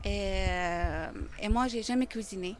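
A middle-aged woman from Syria, a native Arabic speaker with a Syrian accent, giving a personal account in an interview and haltingly searching for words. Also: mid-range voice. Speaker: interviewee, refugee who cooks.

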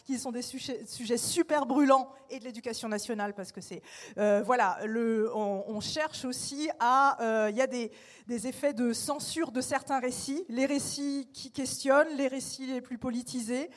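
A woman's voice: on the high side, normal speed. A woman artist speaking on a panel discussion, hesitant with many fillers but emphatic in her points.

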